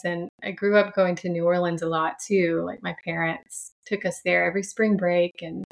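A woman's voice: on the low side, creaky. Reflective woman in her thirties. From Franklin, Tennessee, USA. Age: 30s. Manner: reflective, many restarts and soft laughs. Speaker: podcast guest, sound healer. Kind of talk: casual interview chat.

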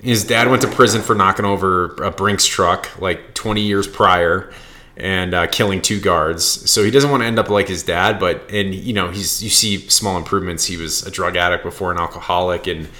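A man's voice: low-pitched, fairly even intonation, normal speed, bright, forceful tone. An American man from Boston chatting casually as a film podcast co-host, blunt, profane and opinionated. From Boston, United States. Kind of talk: casual chat.

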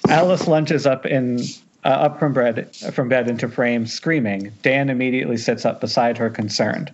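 A man's voice: medium pitch, moderate pace. A man reading screenplay directions aloud at an informal table read over an internet call, sometimes stumbling and restarting. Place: remote group Skype call. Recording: dull, noisy internet call.

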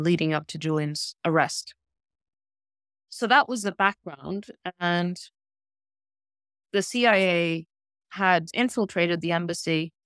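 A woman from South Africa, a human rights lawyer, answering interview questions in a measured, thoughtful way with pauses. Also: fairly low pitch, fairly bright tone, clear, resonant tone, no room sound, studio quality.

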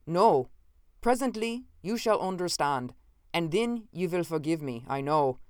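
A man playing a gaunt, intense scientist from another time, unnerved and cruel, speaking slowly and commandingly. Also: on the high side, animated, smooth, no room sound, clean studio sound, voice pushed up. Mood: shaken, intense. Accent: odd, unfamiliar. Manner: slow, deliberate, commanding.

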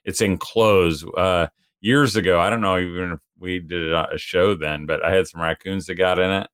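Low-voiced chatty male podcast host. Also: fairly even intonation, normal speed, fairly bright tone, smooth, dry recording, studio quality. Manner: chatty storyteller, laughs often, acts out quoted voices. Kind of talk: casual podcast chat.

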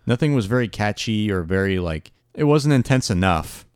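A man's voice: lowish voice, lively intonation, moderate pace, clean tone, no room sound, clean studio sound. A man chatting casually as a podcast co-host, hesitant and full of restarts, laughing easily. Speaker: podcast co-host reviewing an album. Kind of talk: casual chat.

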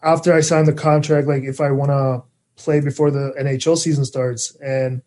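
A young man from the Czech Republic, a Czech native speaker, answering interview questions in English in a relaxed, halting way with many fillers. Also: mid-range voice. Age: young adult. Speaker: guest, pro hockey player. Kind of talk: casual interview chat.

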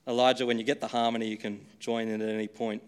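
A man's voice: fairly low pitch, little pitch movement, quick pace, smooth, long reverb tail. A man, a pastor, talking casually and with self-deprecating humor to his congregation in a church before leading a sung creed. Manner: chatty, self-deprecating, joking about his own singing. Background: acoustic guitar playing.

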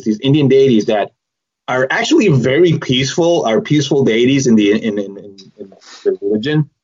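A man co-hosting a casual podcast, halting with fillers and blunt in his criticism. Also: medium pitch, darkish tone, breathy edge, dull audio. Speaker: podcast co-host. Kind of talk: casual chat.

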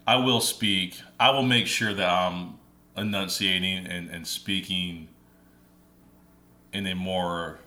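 A man's voice: low voice, steady tone, pushed and bright. A man co-hosting a casual podcast conversation, talking thoughtfully with frequent fillers and restarts. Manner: thoughtful, halting, many fillers. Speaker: podcast co-host.

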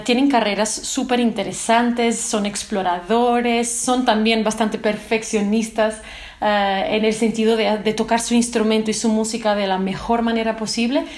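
A woman's voice: a little high, echoey room. A woman from Portugal whose first language is Portuguese, a singer answering interview questions thoughtfully while searching for words. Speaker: singer and guest.

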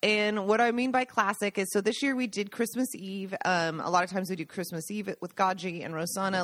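Loud, laughing woman podcast co-host. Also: mid-range voice, dry recording. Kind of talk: casual chat. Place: home in rural Italy.

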